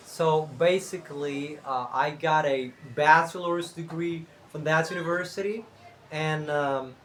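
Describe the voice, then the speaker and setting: A young man from Cuba with a Cuban Spanish accent, Spanish his first language, talking casually to camera as a channel host, unhurried and thinking aloud with fillers and restarts. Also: on the high side, a bit bright, a little hiss or hum.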